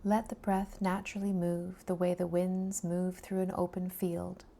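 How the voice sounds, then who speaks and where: Woman doing slow guided meditation, soft breathy almost whispery voice, gravelly fry at times, soothing w long pauses. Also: lowish voice, little pitch movement. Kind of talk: guided body scan meditation.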